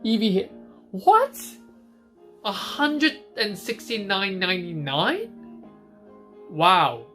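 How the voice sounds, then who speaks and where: Male stream host from Hong Kong, somewhat high voice, casual chat answering viewer questions, faint music behind. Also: very animated, slow pace, long pauses, a bit bright. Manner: chatty, reads out viewer questions and answers them on the spot.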